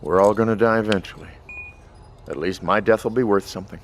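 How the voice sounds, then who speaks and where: A man's voice: on the low side, steady tone, brisk, slight background noise, lightly acted. An actor playing a sardonic, bitter male scientist resigned to his fate, in audio drama dialogue. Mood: wry, resigned. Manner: sardonic, wry, swearing.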